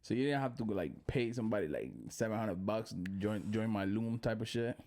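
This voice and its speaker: A man's voice: lowish voice, lively intonation, fairly quick, a little mellow. A man from the Dominican Republic hosting a podcast, chatting casually with loose, profane, joking talk. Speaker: podcast host. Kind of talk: casual chat.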